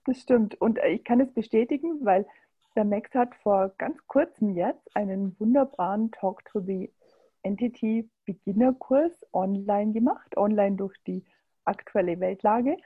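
A woman's voice: medium pitch, measured pace, husky, narrow, tinny audio. A woman interviewing a guest in a casual talk, appreciative and enthusiastic, with some fillers.

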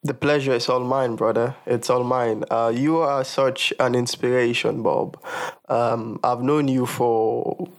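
A young man from Sierra Leone hosting a podcast, talking in a friendly, relaxed way with lots of fillers. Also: medium pitch, unhurried. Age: young adult. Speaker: podcast host. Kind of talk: casual podcast interview.

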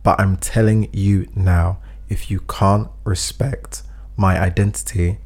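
Low-voiced man giving earnest advice. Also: flat delivery, slow, darkish tone, breathy edge. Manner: earnest, counselling, acts out example speech. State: a bit phlegmy. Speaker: podcast co-host giving advice. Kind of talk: casual advice chat.

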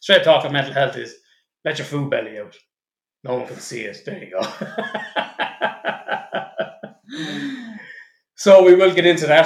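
A man's voice: mid-range voice, reverberant. A man hosting a podcast as a psychotherapist, in casual banter with swearing and teasing.

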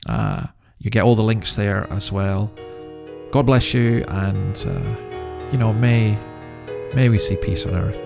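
A man's voice: on the low side, fairly even intonation, takes it slowly, gravelly, music in the background. A man hosting a podcast with informal commentary, talking in a conversational, rambling way and correcting himself as he goes. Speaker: podcast host.

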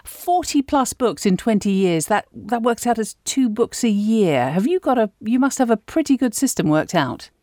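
A woman's voice: on the high side, very animated, moderate pace, hardly any pauses, darkish tone, no echo, clean studio sound. A woman hosting an interview show, moving between scripted introduction and questions to her guest. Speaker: interview host.

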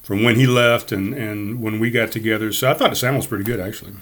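A man's voice: lowish voice, quick pace. Big-voiced man hosting a podcast. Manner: loud, projecting voice; rambling, relaxed, with fillers. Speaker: podcast host. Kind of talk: casual chat. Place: home studio. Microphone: studio mic on a board.

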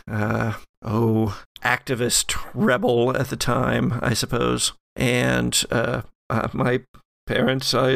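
A man acts out an earnest, bookish occult scholar in a horror audio game. His mid-pitched voice is heavy with creak, and he speaks haltingly and modestly in a dry, close recording. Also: dry recording. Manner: halting, deliberate, self-effacing. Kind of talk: improvised in-character actual-play roleplay.

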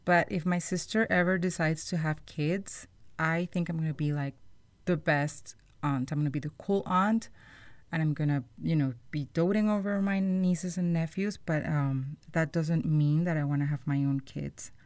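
A woman's voice: sits low, steady tone, moderate pace, a little mellow, dry recording. A woman hosting a podcast, explaining things to listeners in an informal, conversational way. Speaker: podcast host.

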